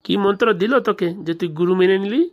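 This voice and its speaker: A woman's voice: medium pitch, fast, darkish tone, lo-fi sound, natural voice. A woman voicing a boastful but cowardly village boy who grumbles colloquially in a comic cartoon. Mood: indignant.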